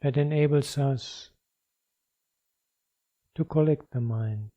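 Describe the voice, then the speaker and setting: A man guides a meditation in a very dark, mellow voice at a middling pitch. He speaks very slowly and leaves long pauses between phrases. His delivery is measured and instructional, as a teacher leading practice. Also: lo-fi sound.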